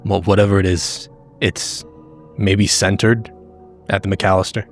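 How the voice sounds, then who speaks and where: A man improvising in character in a tabletop game, with a blunt, sweary and halting casual delivery. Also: low voice, level tone. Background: background music.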